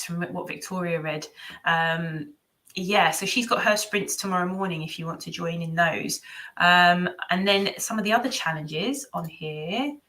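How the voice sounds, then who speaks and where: Woman, bright voice, chatty livestream host, informal, hesitant, thinking aloud. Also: lowish voice. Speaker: host of a book readalong livestream. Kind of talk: casual live-stream chat, reading out comments and cards.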